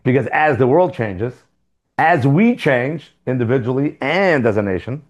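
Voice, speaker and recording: Animated man teaching a Torah class. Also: normal speed. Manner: animated, asks rhetorical questions, sudden jumps in pitch and loudness. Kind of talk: lively Torah class lecture. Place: classroom with students.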